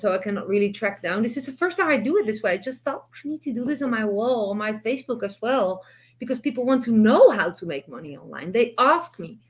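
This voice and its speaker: A middle-aged Dutch woman with a Dutch accent, talking live to her viewers in an earnest, chatty, encouraging way that keeps circling back on itself. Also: fairly high pitch. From Netherlands. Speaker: online business coach and vlogger. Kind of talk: live-streamed motivational talk.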